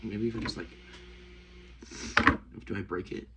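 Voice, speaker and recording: A young man vlogging casually while working at a desk, rambling and talking to himself with many hesitations and often dropping to a hush. He sounds sniffly and congested. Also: fairly low pitch, steady tone, unhurried. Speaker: outdoors vlogger. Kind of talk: casual hands-on vlog. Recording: noisy home recording.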